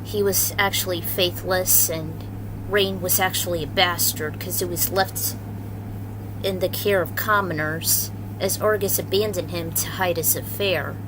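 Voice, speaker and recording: Woman reading her story out loud, slow and casual, kinda unpolished, noisy recording. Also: lowish voice, steady tone. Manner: informal, unpolished, swears freely. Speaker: host and reader of own fan fiction series.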